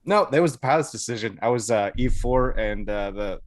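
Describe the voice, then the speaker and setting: A man's voice: medium pitch, animated, brisk, dry recording. An American man telling anecdotes casually as a podcast guest, in a colloquial, amused way. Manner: colloquial, amused, chuckles through stories. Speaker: podcast guest, Air Force veteran.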